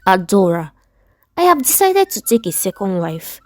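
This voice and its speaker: Lady doing a resolved nigerian husband in his forties, measured, dry sound, soft music. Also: medium pitch, big pitch swings, no room sound, natural voice. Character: middle-aged husband, firm, desperate for a child.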